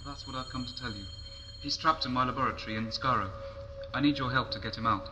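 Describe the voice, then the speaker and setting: A man's voice: medium pitch, fast talker, background noise, close to an everyday voice. A man playing a defiant scientist in a science-fiction audio drama, earnest and urgent in manner. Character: defiant, urgent male scientist aiding the rebels. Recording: dull lo-fi sound, music behind.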